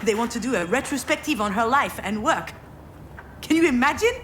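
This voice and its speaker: An actress playing an overwhelmed, sardonic adult daughter in a video game, speaking in a halting, expressive way. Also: female voice, fairly high pitch, a little hiss or hum. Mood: animated, boastful. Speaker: game character, artist's assistant. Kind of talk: naturalistic video game acting.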